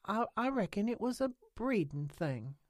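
A woman voicing a stubborn, excitable rural woman who talks in country dialect and rambles defensively. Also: mid-range voice, moderate pace, no room sound, studio quality, voice pushed up. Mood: earnest.

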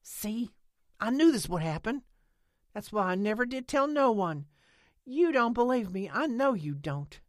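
A woman voicing a stubborn, excitable rural woman who talks in country dialect and rambles defensively. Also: mid-range voice, measured pace, no echo, studio quality, voice pushed up, lightly acted. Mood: defiant.

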